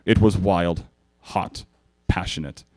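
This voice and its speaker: A man's voice: deep voice, fairly even intonation, slow pace, a little mellow. A man hosting a live comedic reading of fanfiction, amused and stumbling over the text at a convention panel. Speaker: fanfiction reader, panel host.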